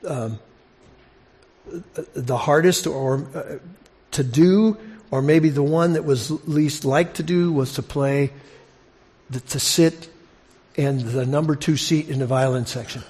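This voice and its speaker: Guy preaching a bible lesson, voice a bit on the high side, asks the listeners questions as he goes. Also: slow pace, pauses often, a little mellow. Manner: poses questions to listeners, repeats phrases for emphasis. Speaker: preacher teaching a congregation. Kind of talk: expository sermon, conversational Bible teaching.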